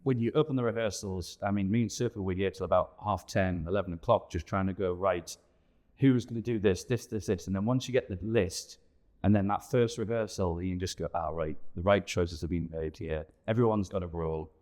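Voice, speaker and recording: Low-voiced man. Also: fairly quick, a little mellow. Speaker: pantomime director and producer being interviewed. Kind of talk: casual interview chat.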